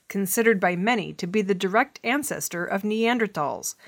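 A woman reading scripted news stories as a measured narration for listeners. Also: medium pitch. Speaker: news reader.

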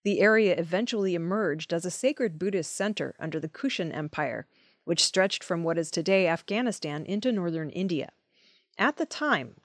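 A woman's voice: lowish voice, dry recording. A woman reading scripted news stories as the presenter of an audio news program. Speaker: news reader.

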